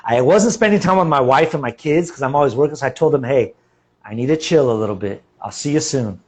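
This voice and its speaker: An American man who teaches English, talking easily in a relaxed, casual chat full of fillers and restarts. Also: mid-range voice, moderate pace, breathy edge. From United States. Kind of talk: casual conversation.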